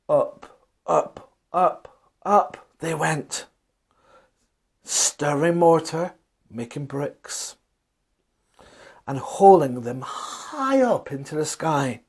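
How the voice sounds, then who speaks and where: A man telling a children's bedtime story, with dramatic pauses, emphatic repetition and questions to his listeners. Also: fairly high pitch, a little mellow, breathy edge.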